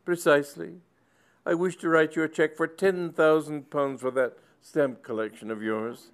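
A man playing a pompous, tearful old male country squire who speaks loudly and grandly. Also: steady tone, moderate pace, lightly acted. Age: older. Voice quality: high, loud and pompous.

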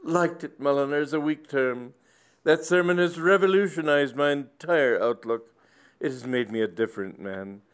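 A man playing a pompous, tearful old male country squire who speaks loudly and grandly. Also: moderate pace, clear, resonant tone, natural voice. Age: older. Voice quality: high, loud and pompous.

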